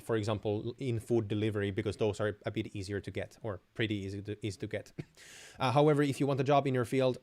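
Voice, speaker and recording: A man's voice: on the low side, darkish tone. A young man from Finland with a Finnish accent, a native Finnish speaker hosting a live Q&A stream, giving blunt, direct advice with many restarts and small chuckles. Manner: chuckles between answers. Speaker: host.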